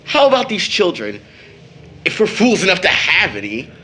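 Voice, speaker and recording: An actor playing an outspoken young man in an audio drama, with an emphatic, expressive delivery. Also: sits high, moderate pace, a little hiss or hum, exaggerated voice. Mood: bitter, impassioned. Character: Tom, impassioned outspoken young brother, teasing. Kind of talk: stage play audio drama acting.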